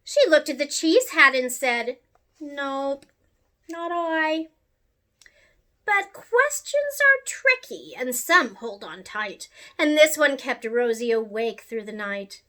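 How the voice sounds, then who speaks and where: A woman reading a rhyming children's picture book aloud in an expressive, sing-song way. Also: high-pitched, a little slow. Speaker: storyteller.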